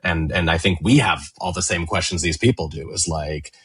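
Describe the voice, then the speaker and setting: A man's voice: low voice, moderate pace, a bit bright, breathy edge, dry recording. A man on a podcast panel, thoughtfully and hesitantly analysing a story in casual talk. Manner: hesitant, thoughtful, often checking with "right?". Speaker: cast member and podcast panelist.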